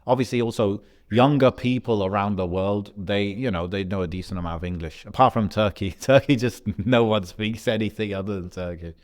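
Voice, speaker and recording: A man from Algeria, a podcast co-host, telling a travel story in a relaxed, rambling way with slang and fillers. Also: on the low side, brisk, few pauses. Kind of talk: casual podcast chat.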